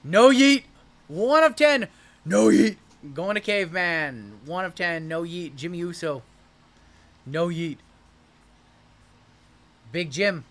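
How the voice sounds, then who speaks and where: Man, card break host, hyped and joking, voice going hoarse, high and bright. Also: lively intonation, slow, pauses often. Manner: hyped, joking, calls out cards as they are pulled. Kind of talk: livestream card break commentary.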